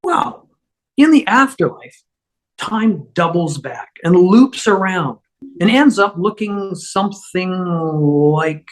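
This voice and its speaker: A woman reading the part of a flustered, apologetic male otherworldly schemer, husky and halting as she stammers through explanations. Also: on the low side, expressive. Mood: flustered explaining. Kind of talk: amateur script table read.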